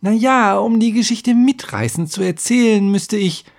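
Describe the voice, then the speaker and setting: A man's voice: high voice, moderate pace, vocal fry, voice pushed up. A man voicing a plump, naive, curious scribe in a fantasy story, asking questions in a polite, hesitant way.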